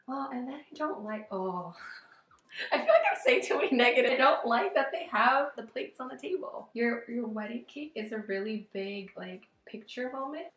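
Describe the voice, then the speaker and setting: Slightly hoarse woman in an echoey room. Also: fairly high pitch, fairly bright tone. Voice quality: slightly rough, strained voice. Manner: chatty, informal, thinking aloud with lots of "like". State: hoarse from losing voice. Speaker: wedding planner and video host. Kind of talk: casual reaction commentary. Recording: roomy, echoey home-video sound.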